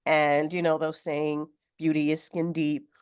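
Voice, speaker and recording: A woman with a notably low voice speaks on a video call from her home. She is slightly hoarse from a cold and talks in a thoughtful, unhurried way with occasional fillers. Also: level tone. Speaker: author guest. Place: home room with sewing machine. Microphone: video call webcam.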